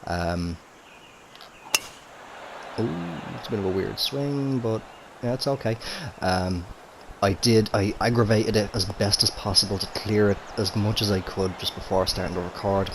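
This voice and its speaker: A man's voice: lowish voice, steady tone, moderate pace, background noise. A man giving casual commentary on a game into a headset at home, his voice a little throaty from a cold, chatty and easygoing with lots of fillers. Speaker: gaming commentator. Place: home room with TV and console. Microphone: gaming headset.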